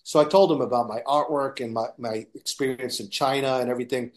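A man from the United States talks as a guest on a talk show, at an ordinary middle pitch. He rambles through a long personal story. He keeps retelling conversations in detail. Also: steady tone, fast. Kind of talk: casual storytelling.

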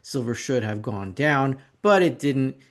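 A man's voice: medium pitch, expressive, measured pace. A man hosting an investing video report, talking through charts in a casual, opinionated, rambling way with sarcastic asides. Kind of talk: casual market commentary with slides.

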